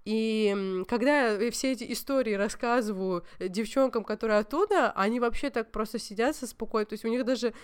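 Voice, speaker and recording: A young woman with a smooth, clear voice, sitting a little high in her range. She tells stories in a lively, conversational way, pausing often on small fillers as she talks. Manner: animated storytelling, many fillers. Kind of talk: casual chat.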